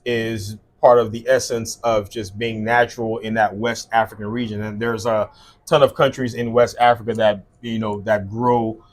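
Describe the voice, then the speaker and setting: A man's voice: lowish voice, little pitch movement, moderate pace. Earnest man telling his story. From South Sudan and Liberia. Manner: warm, earnest storytelling with frequent fillers. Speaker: guest, skincare business owner. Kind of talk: casual interview conversation.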